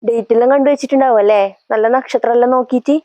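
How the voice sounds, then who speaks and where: A woman acting as a nosy know-it-all village neighbour with a Kannur accent. In comedy sketch style, she talks glibly and belittlingly in a fairly high voice. The sound is slightly dull. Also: fairly even intonation, rapid-fire, dark tone, no echo, close to an everyday voice. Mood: glib, dismissive. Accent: Kannur, north Kerala. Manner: glib, belittling.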